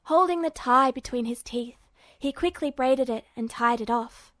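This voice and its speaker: Fiction narrator: woman, fairly high pitch, unhurried scripted read, close dry sound. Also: fairly bright tone, dry recording.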